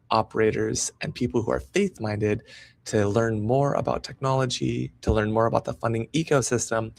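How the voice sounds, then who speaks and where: An upbeat man hosting a live interview show, eager and promotional as he introduces guests. His mid-pitched voice carries a gravelly, creaky texture. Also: darkish tone. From United States. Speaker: podcast host.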